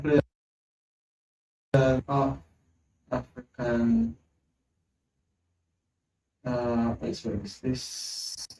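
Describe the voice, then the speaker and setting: A man's voice: medium pitch, sing-song, extremely slow, vocal fry, pauses often, a little mellow. A man, hosting a casual football podcast, thinking aloud, repeating himself and reading from his screen. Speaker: podcast host. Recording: roomy, lo-fi, mic at a distance, faint hum.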